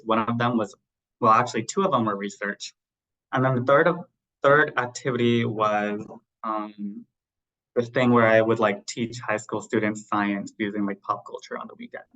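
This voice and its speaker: Bright-voiced man on a video call panel. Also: fairly low pitch, lo-fi sound. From Southern California. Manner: conversational, many "like" fillers. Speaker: MD-PhD student panelist. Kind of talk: casual panel talk.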